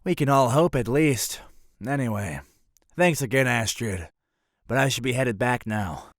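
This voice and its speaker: A man's voice: mid-range voice, lively intonation, a little slow, dry recording, studio quality, natural voice. A man playing a roguish, flippant mercenary leader with a theatrical swagger in an audio drama. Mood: grateful, wry.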